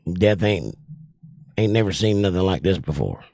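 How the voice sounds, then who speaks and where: An older man with a drawl hosts a relaxed livestream, reading viewers' comments aloud and answering them. His voice sits low and sounds worn. The sound is dry and close, with no room echo. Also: moderate pace, creaky. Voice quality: voice worn from years of trouble. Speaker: livestream host reading chat. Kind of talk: casual livestream chat.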